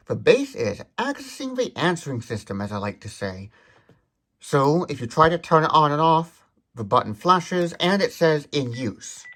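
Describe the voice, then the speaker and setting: A man's voice: on the high side, a bit bright. A man hosting a tech demonstration, explaining details methodically. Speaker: tech demonstrator, video host.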